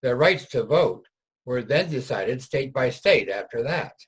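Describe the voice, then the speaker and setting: Husky man narrating a history script. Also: medium pitch, expressive, unhurried. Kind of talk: scripted narration for reader's theater.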